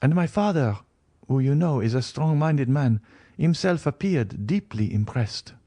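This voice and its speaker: A man reading an audiobook, voicing an anxious, gentle young noblewoman in an earnest, pleading manner. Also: mid-range voice, lively intonation, unhurried, dry recording, pitched above the performer's own voice. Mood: agitated.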